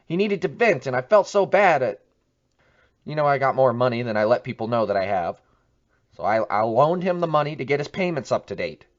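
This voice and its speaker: A man acting an agitated, well-meaning friend in an audio drama, halting and pleading. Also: mid-range voice, sing-song, lo-fi sound, natural voice. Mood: pleading, distressed.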